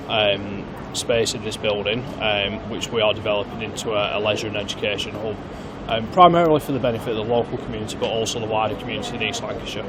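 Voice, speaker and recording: A man's voice: on the low side, background noise. A man working as a manager, giving informative answers in an interview. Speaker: general manager being interviewed.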